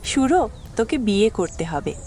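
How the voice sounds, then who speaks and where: A woman's voice: mid-range voice, sing-song, moderate pace, a little mellow, a little hiss or hum, natural voice. An actress playing an easygoing middle-aged mother in an audio drama, coaxing and mild.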